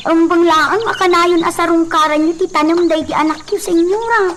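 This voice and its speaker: Very high-pitched obedient maid. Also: female voice, fairly even intonation, normal speed, fairly bright tone, a little hiss or hum. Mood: deferential. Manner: obedient, respectful. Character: deferential young female maid attending her mistress. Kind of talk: Ilocano radio drama acting.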